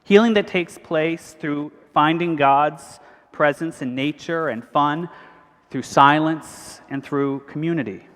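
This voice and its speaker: A priest, giving an earnest, measured convention speech. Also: male voice, fairly high pitch, fairly bright tone. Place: large cathedral. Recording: long hall reverb.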